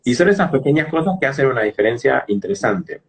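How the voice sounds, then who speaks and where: A man's voice: mid-range voice, very animated, fast, breathy edge. A man with a Rioplatense Argentine accent, speaking as an English teacher in an interview, explaining things didactically with everyday examples. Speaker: English teacher and phonetics specialist being interviewed.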